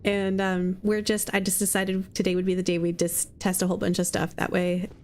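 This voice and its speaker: A woman's voice: mid-range voice, flat delivery, brisk. A woman streaming a video game while sick and losing her voice, who chats with viewers and comments on her own plays. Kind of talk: casual live-stream chat while gaming. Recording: loud game music behind voice.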